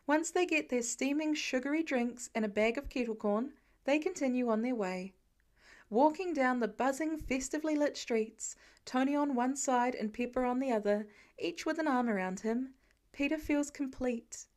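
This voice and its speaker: A woman's voice: high-pitched, normal speed, a little mellow. A woman narrating a scripted story as an amateur audiobook reader.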